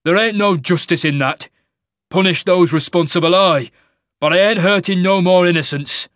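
A man's voice: sits high, normal speed, fairly bright tone, no echo, clean studio sound, voice pushed up. A man voicing a weary, conflicted older warrior father, plain-spoken and growling, in a fantasy audiobook. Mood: defiant. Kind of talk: dramatic fantasy audiobook character.

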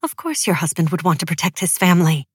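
A woman's voice: deep voice, steady tone, brisk, fairly bright tone, no room sound, clean studio sound. A woman voicing a cunning young widow who feigns meek, weary devotion in an audiobook, earnest and careful. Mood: pleading.

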